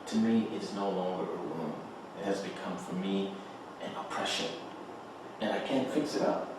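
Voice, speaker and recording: A man's voice: sits low, moderate pace, natural voice. A man playing a desperate, trapped writer defending himself in a table read of a stage play. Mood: anguished. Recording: echoey room, distant mic, noise.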